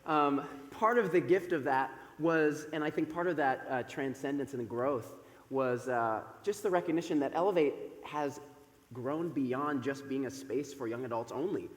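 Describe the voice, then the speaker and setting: A man in his thirties, a pastor speaking from the pulpit in a church sanctuary through a microphone, loud and chatty with many fillers. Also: mid-range voice, moderate pace. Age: 30s. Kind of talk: sermon. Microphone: microphone over a PA system. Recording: echoey church hall with long reverb.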